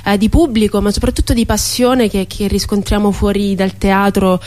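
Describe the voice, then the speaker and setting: A woman with a mid-pitched voice speaks as a guest in a radio interview. She talks earnestly and spontaneously, feeling her way through phrases with thoughtful pauses. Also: flat delivery, a little mellow. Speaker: theatre performer, guest.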